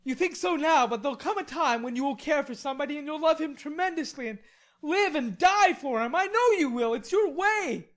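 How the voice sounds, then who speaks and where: Casting: female reader for lovelorn young man in storybook narration, impassioned and wheedling, pitched well up. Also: lively intonation, brisk. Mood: bitter, despairing. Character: lovelorn, hot-headed young man, pleading and rebellious. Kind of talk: audiobook character voice.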